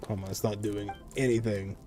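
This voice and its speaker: Male streamer, casual and quippy, on the low side, reacting to gameplay with faint music underneath. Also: fairly even intonation, fairly quick. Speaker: game streamer. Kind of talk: casual live gameplay commentary.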